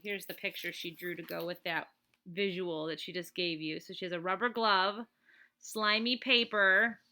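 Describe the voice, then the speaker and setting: Bright-voiced woman reading a children's book. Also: lowish voice, normal speed. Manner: expressive, chatty, emphatic on list items. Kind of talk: read-aloud storytelling with casual asides.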